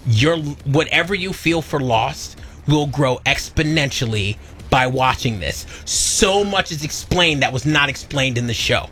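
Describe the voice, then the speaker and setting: A man's voice: mid-range voice, animated, measured pace, fairly bright tone, slight background noise. A man co-hosting a talk show, speaking casually with opinions, in an emphatic, slangy, explanatory way.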